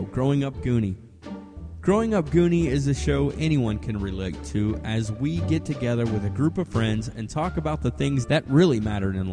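A man delivering a casual, conversational podcast promo in a breezy, colloquial way. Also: mid-range voice. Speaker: podcast network promo announcer. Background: music bed.

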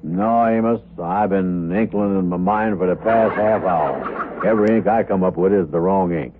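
An actor playing a slow-witted, gullible, folksy sidekick who mangles words and grammar in old radio comedy style. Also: male voice, low voice, lightly acted. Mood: dim, puzzled. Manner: drawling malapropisms, ungrammatical. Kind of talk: 1950s radio comedy acting. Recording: noisy, tinny old radio before studio audience.

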